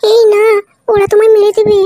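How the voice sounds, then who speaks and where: An actor voicing an anxious wife and mother in a comedy cartoon, shrill and pleading. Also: completely flat delivery, brisk, noisy background. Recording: music behind the voice.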